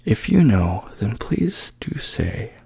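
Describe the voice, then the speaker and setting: A man gently puts on a sleepless little storybook sheep, polite and plaintive. The speech is soft and slow, close to a whisper. It has a husky, breathy edge suited to bedtime ASMR. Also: on the low side, very animated, dry recording, exaggerated voice. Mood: politely pleading.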